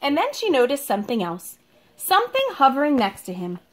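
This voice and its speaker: A woman teacher speaking to young children in an expressive, deliberate read-aloud style, recorded at home in a living room. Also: sits high. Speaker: elementary school teacher reading a picture book aloud.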